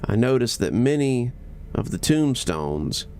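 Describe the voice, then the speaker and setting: Male horror narrator: dramatic scripted reading, animated pitch, gravelly creak in the voice. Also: a little slow, a little hiss or hum.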